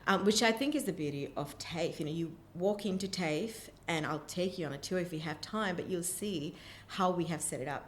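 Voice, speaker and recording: A woman answering interview questions about her work in an enthusiastic, emphatic way. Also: low voice. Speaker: interview guest, vocational college representative. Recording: clear background noise, room ambience.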